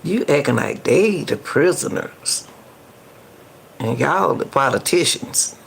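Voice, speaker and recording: A woman giving casual, colloquial reaction commentary as host, sounding incredulous, with drawn-out remarks. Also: deep voice, animated, gravelly, a little hiss or hum.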